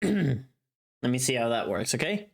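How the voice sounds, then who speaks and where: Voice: medium pitch, very animated, a little slow, gravelly. A streamer chatting casually on a livestream in a joking, sweary manner. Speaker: streamer making a voice pack.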